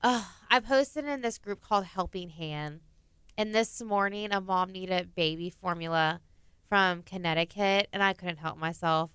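Thirties woman, co-host, bubbly and giggly; bright, very clear, dry close sound. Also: on the low side, unhurried, dry recording. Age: 30s. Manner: laughs often, bubbly and animated. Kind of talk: casual chat.

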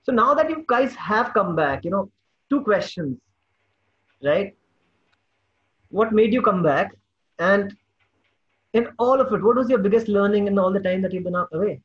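A man hosts a casual chat in a high, husky voice. He is effusive and affirming as he responds, and the audio is dull and muffled. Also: expressive, normal speed, pauses often. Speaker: podcast host.

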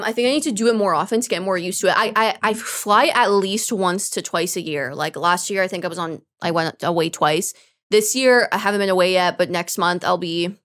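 A woman co-hosting a podcast in casual chat, chatty and expressive and quick to laugh along. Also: fairly low pitch. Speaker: podcast co-host.

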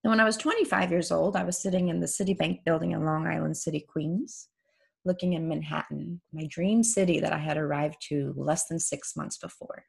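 Casting: gravelly, low woman in her 40s, Farsi her first language, lively chat host who swears casually. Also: fairly quick. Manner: animated, laughs often, swears casually. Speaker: author and podcast host. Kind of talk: casual conversational interview.

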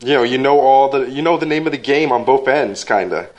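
A man's voice: mid-range voice, a little fast. Chatty young man, prodding questions. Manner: chatty, prodding questions, lots of "like" and "you know". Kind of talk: casual chat.

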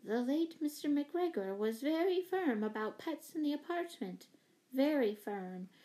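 Woman playing a high-pitched, fluttery anxious spinster. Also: animated, a little slow, mellow, low-quality recording. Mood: grateful, earnest. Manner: gushing, flustered, distracted. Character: fluttery, anxious, apologetic older spinster neighbour with pet monkey. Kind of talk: children's storybook character voice.

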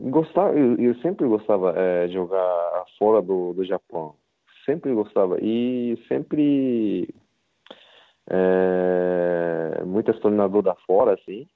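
Interviewed footballer: Japanese-accented man, low voice, halting non-native Portuguese over a thin phone connection. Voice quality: halting, simple Portuguese with many fillers. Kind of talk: casual interview. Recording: tinny phone-line sound.

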